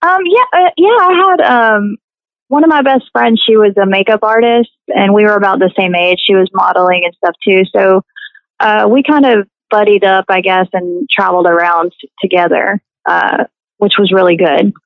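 A woman in her thirties as a podcast guest, talking hesitantly with many pauses and fillers. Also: mid-range voice, dry recording, narrow, tinny audio. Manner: hesitant, many ums and uhs. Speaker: guest, singer and actress. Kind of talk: casual interview chat.

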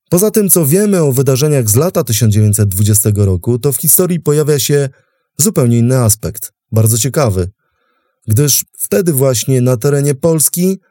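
Mellow man narrating history, dry sound. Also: mid-range voice, no room sound. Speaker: host and narrator. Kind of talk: scripted history documentary narration.

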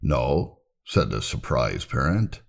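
A man narrating church history from a script in a solemn, measured, emphatic storytelling manner. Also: extremely low pitch, sing-song, creaky. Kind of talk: scripted narration, reading aloud.

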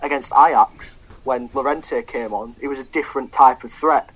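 A man's voice: medium pitch, moderate pace, slightly rough, poor audio. Young man, casual football chat, swears a lot, lots of ums. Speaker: podcast co-host.

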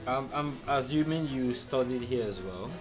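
A man hosting a podcast in casual chat, asking relaxed questions with lots of fillers and hesitations. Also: mid-range voice, moderate pace, over music. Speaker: podcast host.

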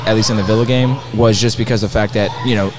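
A man's voice: lowish voice, steady tone, music in the background. A man co-hosting a casual football podcast, talking emphatically and repeating himself as he makes his point. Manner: quick, emphatic, repeats words. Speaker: podcast co-host. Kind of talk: casual sports chat.

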